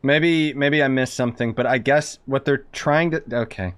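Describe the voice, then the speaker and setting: Gamer host, male, casual and sarcastic, thinks out loud. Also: mid-range voice, normal speed, clean tone. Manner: sarcastic, rambling, talks over cutscenes. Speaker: gaming streamer. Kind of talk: casual gameplay commentary.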